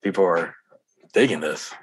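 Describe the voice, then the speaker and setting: A man from Hawaii, a rapper being interviewed, telling stories in an informal, halting way that often doubles back. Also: low-pitched, husky, low-quality recording. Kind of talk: casual interview storytelling.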